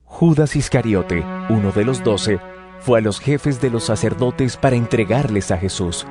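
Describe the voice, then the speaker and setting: A man giving formal, measured narration of scripture. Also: on the low side, over music.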